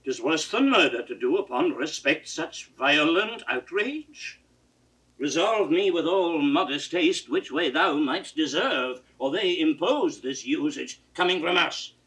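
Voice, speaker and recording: Volatile, sharp-voiced old king. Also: on the high side, animated, unhurried. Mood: indignant disbelief. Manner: declamatory, imperious, abrupt swings from muttering to roaring. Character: proud, aging king, wounded father, volatile and wrathful. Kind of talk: classical Shakespearean stage acting. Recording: distant-miked 1960s audio drama recording.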